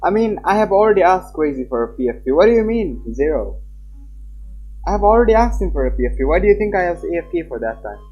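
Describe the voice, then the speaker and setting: A streamer reading a visual novel aloud live and chatting casually with viewers. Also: male voice, high-pitched, husky, lo-fi sound, faint music behind. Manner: animated, chatty, quick laughs.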